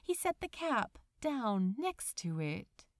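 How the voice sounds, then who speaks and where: A woman narrating a story expressively, with deliberate, dramatic phrasing. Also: a little high, sing-song, dry recording, studio quality. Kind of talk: expressive scripted fan fiction narration.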